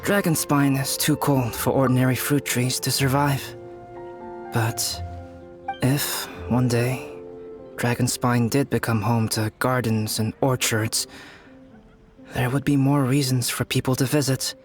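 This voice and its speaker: A male actor's calm young alchemist from an anime game. He speaks in a measured, composed way at a medium pitch, softly philosophical and gently teasing, with music underneath. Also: close to an everyday voice. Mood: reflective. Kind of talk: anime video game voice acting.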